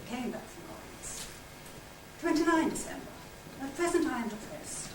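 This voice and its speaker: A woman's voice: high voice, big pitch swings, normal speed. Woman reading in an echoey, noisy room. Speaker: presenter and reader at a literary society conference. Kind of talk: scripted narration with read-aloud letters and diary extracts. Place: conference hall. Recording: echoey room, distant mic, loud noise.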